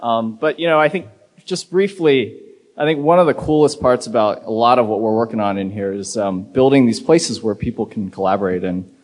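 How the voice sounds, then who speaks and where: A man's voice: mid-range voice, fairly quick. A man giving a casual, rambling acceptance speech, full of ums and you-knows. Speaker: award winner. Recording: reverberant hall.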